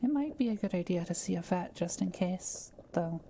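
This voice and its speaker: A woman playing a kind, soft-spoken witch who loves solitude, speaking gently and reassuringly to the listener in an intimate roleplay audio drama. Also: on the low side, lively intonation, moderate pace, slight background noise. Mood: caring, attentive. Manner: gentle, caring, softly reassuring.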